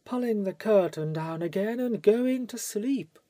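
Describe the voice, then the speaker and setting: Man voicing a high-pitched, gushing society lady. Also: lively intonation, a little slow, mellow, dry recording. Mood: amused. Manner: theatrical, gushing. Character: vivacious, gushing society actress, a woman.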